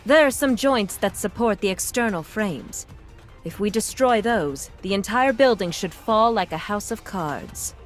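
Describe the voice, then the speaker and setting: An actress playing a calm, knowing, regal queen who commands in a video game, with poised, unhurried delivery. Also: medium pitch, close to an everyday voice. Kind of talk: English video game dub acting. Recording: music behind the voice.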